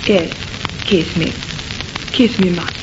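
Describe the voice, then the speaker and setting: An actress playing a jealous, superstitious French sweetheart with a French accent and dramatic broken English in old-time radio horror. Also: female voice, on the low side, steady tone, takes it slowly. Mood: amorous. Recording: noisy, dull vintage radio recording.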